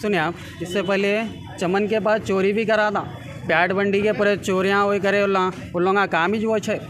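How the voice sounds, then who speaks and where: High-pitched young Hyderabadi man, insistent. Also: flat delivery, moderate pace. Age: young adult. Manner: insistent, repetitive, often urging listeners to hear them out. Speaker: injured attack victim giving a statement. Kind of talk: spontaneous interview account to reporters. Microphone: handheld reporters' microphones held close. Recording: street-interview sound with crowd bustle.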